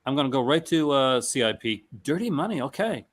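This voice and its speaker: A man in a relaxed conversation, reading out film blurbs. He stumbles over names, restarts his words and laughs easily as he goes. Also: medium pitch, brisk. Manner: reads product blurbs aloud, stumbling and restarting, laughs often. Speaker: host. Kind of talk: casual chat.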